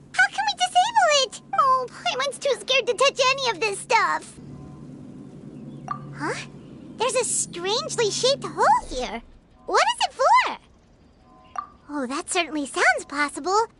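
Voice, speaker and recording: A woman's voice: sing-song, measured pace, pauses often, heavy background noise, exaggerated voice. A woman voicing a tiny, chatty fairy companion who is easily scared, in a game cutscene. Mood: nervous. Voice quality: high, childlike and squeaky. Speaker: companion character. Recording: game audio with loud music.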